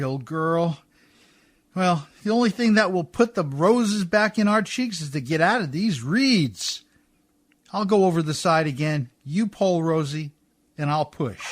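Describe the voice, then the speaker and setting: Male role, acted: dogged, weary riverboat skipper, folksy and unhurried, radio drama, dry studio sound. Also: sits high, a bit bright, no room sound, full character voice. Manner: folksy ungrammatical speech. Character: rough, warm-hearted riverboat skipper, weary but dogged. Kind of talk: radio play acting.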